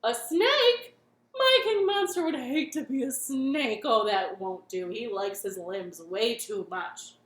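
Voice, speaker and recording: A woman voicing a silly, overly polite male monster chef who talks loudly, in a roomy echo. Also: fairly high pitch, animated, takes it slowly. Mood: eager.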